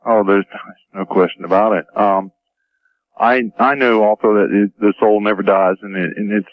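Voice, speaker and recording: A man's voice: fairly low pitch, fairly even intonation, normal speed. A man from North Carolina calling a radio show, chatting casually with some hesitation. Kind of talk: casual chat. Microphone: phone call to radio show. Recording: dull lo-fi caller audio on radio.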